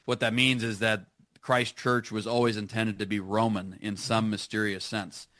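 A man's voice: fairly low pitch, little pitch movement, normal speed, sharp tone, dry recording, studio quality. A man as a guest on a live radio show, chatting enthusiastically with plenty of fillers and restarts. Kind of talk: casual radio conversation.

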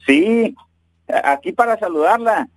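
A man's voice: high-pitched, no echo. A man calling a radio show, joking and teasing with the host. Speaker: caller. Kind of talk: casual phone chat.

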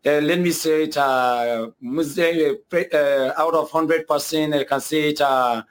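A man's voice: a little high, steady tone, unhurried. A young man with a Nigerian accent telling his own story earnestly, hesitating and repeating himself often. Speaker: guest telling his conversion testimony. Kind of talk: personal testimony.

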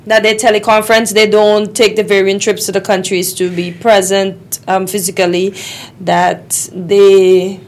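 A woman hosting a call-in radio show, asking quick rhetorical questions while losing her voice. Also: mid-range voice, level tone. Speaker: radio talk show host.

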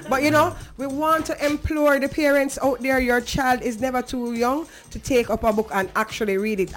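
High-voiced, emphatic Jamaican woman. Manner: earnest, emphatic, conversational. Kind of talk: advocacy talk on a talk show, slipping into patois.

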